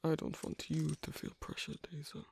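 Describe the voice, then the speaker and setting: A man playing a guarded, flirtatious loner werewolf in an ASMR roleplay, speaking hesitantly and teasingly in a breathy, husky near-whisper. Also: fairly high pitch, completely flat delivery, normal speed, darkish tone, full character voice. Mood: tentative, flirtatious. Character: gruff, guarded, flirtatious asocial werewolf man on a first date. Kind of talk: ASMR roleplay, intimate one-sided dialogue.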